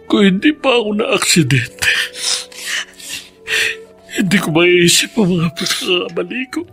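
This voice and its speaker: A man playing a remorseful, injured husband in a Filipino radio drama, halting and emotional. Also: sits high, expressive, a bit bright, faint music behind, full character voice. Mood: tearful remorse. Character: remorseful, injured husband and father, once cruel.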